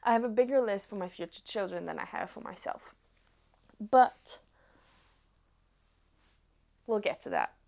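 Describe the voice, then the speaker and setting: Slow-paced young Norwegian woman. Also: medium pitch, lively intonation, vocal fry, darkish tone. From Norway. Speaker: knitting vlogger. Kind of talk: casual chat to camera.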